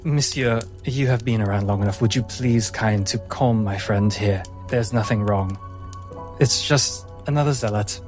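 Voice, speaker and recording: A man voicing a proud, anxious madam of a French establishment in a tabletop game, halting, polite but firm. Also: lowish voice, darkish tone, faint music behind, close to an everyday voice. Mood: soothing, flustered.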